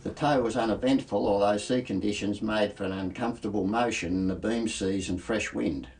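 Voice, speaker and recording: A man's voice: fairly low pitch, brisk, darkish tone. An Australian man reading a scripted rescue report as a coast guard narrator. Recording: clearly noisy voice-over track.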